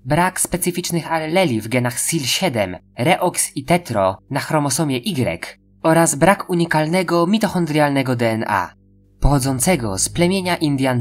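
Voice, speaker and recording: A woman playing a deferential female research scientist, giving a formal, clinical briefing in an audio drama. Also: low-pitched.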